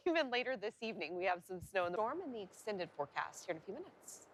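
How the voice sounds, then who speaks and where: A woman's voice: medium pitch, big pitch swings, fast, a little hiss or hum. A woman presenting TV weather and chatting conversationally with her co-anchor. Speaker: TV weather forecaster. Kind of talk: weather report with casual co-anchor chat. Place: TV news studio.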